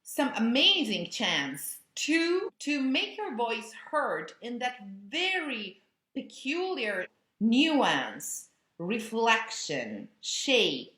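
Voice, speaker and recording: Woman, opera coach, animated bright teaching voice, echoey room. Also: fairly high pitch, very slow. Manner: expressive, imagery-rich teaching. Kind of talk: vocal lesson explanation. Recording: roomy echo.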